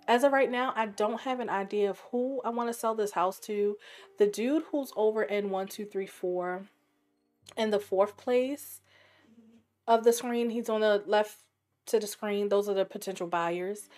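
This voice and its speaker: A woman with a raspy voice and a sore, scratchy throat, chatting casually while narrating her gameplay and thinking aloud. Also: medium pitch, darkish tone. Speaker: game streamer.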